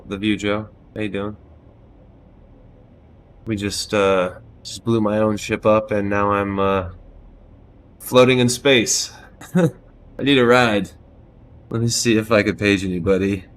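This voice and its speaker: Loud-voiced man streaming a space game. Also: lowish voice, slight background noise. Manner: loose, self-deprecating, swears often. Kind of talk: casual live-stream chat. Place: small room at home.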